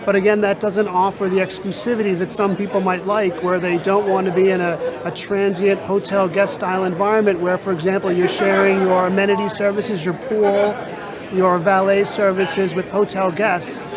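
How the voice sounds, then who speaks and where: A man with a high, even voice answers questions in a measured, polished sales manner. He is recorded on a busy conference floor with a crowd chattering around him. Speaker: real estate sales director. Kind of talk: interview at a trade event. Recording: loud crowd chatter behind.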